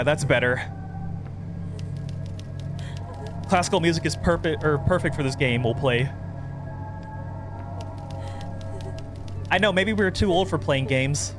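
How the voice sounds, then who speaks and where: A man reads as a thirty-year-old male psychopath in a horror game. He is possessive and coaxing, with a controlled, sinister threat under the words. The voice sits a little high for a man, over clear music. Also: lightly acted. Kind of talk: reading horror game dialogue aloud.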